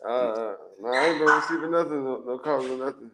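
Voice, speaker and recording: A former pro football player giving short, laid-back answers in a casual interview over a video call. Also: male voice, mid-range voice, brisk. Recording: loud background noise on a call line.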